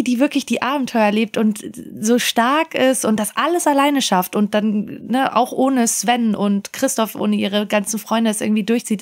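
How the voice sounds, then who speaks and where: A woman co-hosting a podcast, chatting casually in long, run-on sentences. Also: on the high side. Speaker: podcast co-host. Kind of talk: casual panel chat.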